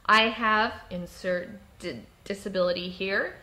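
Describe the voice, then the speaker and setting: A woman of medium pitch gives an explanatory talk with personal examples. She stutters markedly, catching on and repeating first sounds. Her delivery is slow, deliberate and composed. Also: fairly even intonation, bright, forceful tone. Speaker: presenter giving workplace advice.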